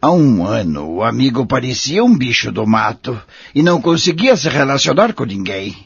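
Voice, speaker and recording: An actor playing a kindly, patient old man in an audio drama, raspy and gravelly, gently persuading and moralizing. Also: mid-range voice, big pitch swings, moderate pace, no room sound, muffled recording, heavily acted. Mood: earnest. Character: kindly, patient old spiritist friend, an elderly husband.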